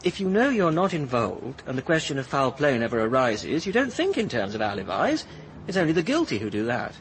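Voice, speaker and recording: A man's voice: medium pitch, sing-song, brisk, background noise. An actor playing a clever, cynical young Englishman who argues in an articulate, sardonic manner in a radio drama. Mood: sardonic, reasoning.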